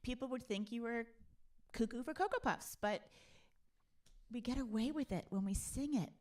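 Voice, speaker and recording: A woman with a fairly high voice hosts a live stream. She talks casually and playfully, as a musician chatting to viewers at home. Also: normal speed, a little mellow.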